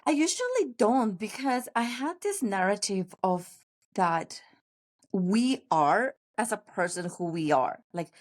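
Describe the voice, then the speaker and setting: A woman's voice: mid-range voice, expressive. A middle-aged woman, raised in Paraguay with Spanish as her first language, talking earnestly and reflectively as a podcast guest. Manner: reflective, earnest, pauses with fillers. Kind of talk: casual conversational interview.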